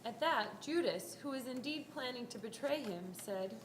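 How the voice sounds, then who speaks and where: A woman's voice: a little high, animated, moderate pace. A woman narrating a scripted scripture story as part of a reader's theater performance. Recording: echoey room, faint background noise.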